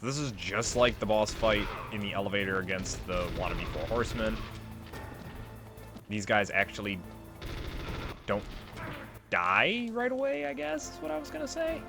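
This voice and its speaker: A man's voice: fairly low pitch, lively intonation, normal speed, gravelly, long pauses. A man giving casual let's play commentary on a video game, wry and freely swearing. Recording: voice over game music. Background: game music.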